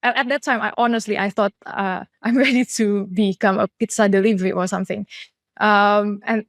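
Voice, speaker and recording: A woman's voice: mid-range voice, fairly even intonation, normal speed, no echo, studio quality. A woman from Indonesia in her thirties, a product leader answering interview questions candidly and reflectively, with frequent fillers and restarts. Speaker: guest, chief product officer.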